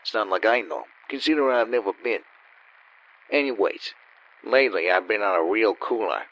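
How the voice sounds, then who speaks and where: A man's voice: lowish voice, moderate pace, close to an everyday voice. Man acting a wry hardboiled gambler, dull recording. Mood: wry, cynical. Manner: wry, world-weary, deadpan. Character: down-and-out cynical male gambler and con man. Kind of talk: hardboiled noir radio play narration. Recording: dull, slightly lo-fi.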